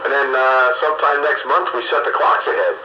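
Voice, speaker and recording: A man's voice: medium pitch, fairly even intonation, fast talker. A man chatting casually over amateur radio in a folksy, wry, rambling way. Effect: two-way radio transmission. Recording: muffled, echoey, noisy ham radio transmission. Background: clear radio static and noise.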